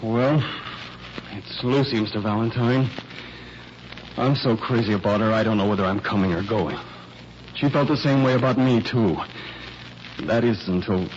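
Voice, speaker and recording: An actor playing an earnest, lovesick young fiancé in a radio mystery, hesitant and stammering with worry. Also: male voice, lowish voice, moderate pace, natural voice. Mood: anxious. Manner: hesitant, stammering, self-correcting. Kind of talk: 1940s radio mystery acting. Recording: muffled, faintly noisy vintage radio recording.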